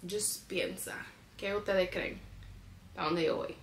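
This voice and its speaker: A woman's voice: on the low side, steady tone, takes it slowly, slight background noise. Chatty Bronx-born woman. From New York, the Bronx. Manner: chatty, mixes English words into her Spanish. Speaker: family vlogger. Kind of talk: casual vlog chat to camera. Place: at home, packing a suitcase.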